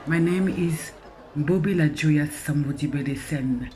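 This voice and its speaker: A man from Congo, an artist and poet speaking slowly and emotionally about his experience. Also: on the high side, noisy background. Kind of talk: reflective personal account with poem reading.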